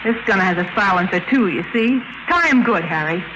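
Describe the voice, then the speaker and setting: An actress playing a mysterious, cool and steely countess in a 1950s radio drama. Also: female voice, medium pitch, expressive, a little fast, lightly acted. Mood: steely, urgent. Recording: noisy vintage 1950s radio recording.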